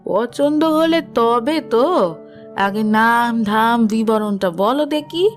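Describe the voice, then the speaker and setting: Woman playing a slow-spoken shrewd aunt. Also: a little high, lively intonation, faint music behind. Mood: wry, amused. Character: shrewd, bantering auntly matchmaking guardian woman. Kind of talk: Bengali audio drama acting.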